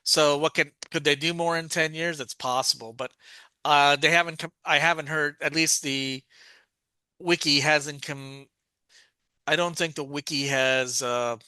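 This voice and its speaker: A man with a fairly high, very bright and clean voice hosts a casual podcast. He talks in a halting, rambling way, often doubling back to restart a phrase. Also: steady tone, clean tone, dry recording. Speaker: podcast host. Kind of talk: casual review chat.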